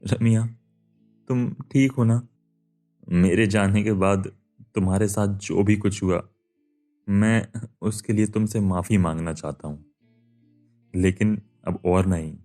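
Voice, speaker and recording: A man's voice: lowish voice, steady tone, slow, pauses often, mellow, faint music behind. A man playing a protective, aggrieved young husband in a Hindi cartoon story, earnest in delivery. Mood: tender, resolute.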